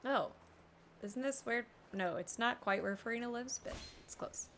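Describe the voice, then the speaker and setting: A woman's voice: a little high, normal speed, a bit bright, slight background noise. A woman game streamer giving casual, playful live reactions as she plays.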